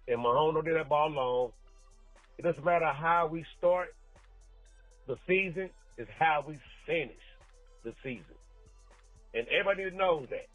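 Male sports fan, raspy and on the higher side, animated street-casual rant, muffled recording over faint music. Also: no room sound. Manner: animated, exclaiming, colloquial. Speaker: sports fan leaving a voicemail. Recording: muffled voicemail, music behind.

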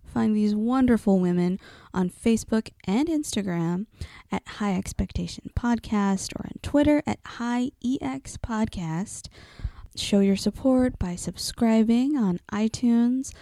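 Mellow woman reading a podcast outro. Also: fairly high pitch, measured pace, no room sound, studio quality. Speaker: guest announcer. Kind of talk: scripted outro read.

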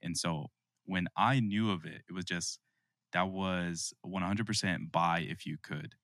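A man's voice: low-pitched, unhurried, fairly bright tone, dry recording, clean studio sound. A man hosting a podcast interview in a casual, conversational style. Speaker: podcast host.

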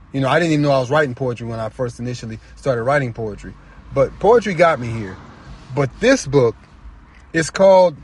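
Reflective man with a slightly husky voice. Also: mid-range voice. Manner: reflective, halting, repeats phrases for emphasis. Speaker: poet and novelist, featured storyteller. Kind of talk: personal storytelling talk, informal.

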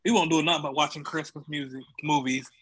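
Bright, high-voiced young American man. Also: fairly even intonation, fast talker, breathy edge. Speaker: podcast guest, voice cast member. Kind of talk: casual chat.

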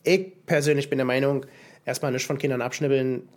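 A man's voice: medium pitch, fairly even intonation, brisk. A young man co-hosting a podcast in casual chat, hesitant, with many fillers and restarts. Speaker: podcast co-host.

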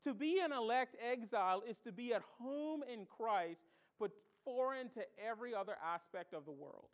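Animated high-voiced woman preaching a sermon. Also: moderate pace. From Bahamas. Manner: emphatic, animated, asks rhetorical questions.

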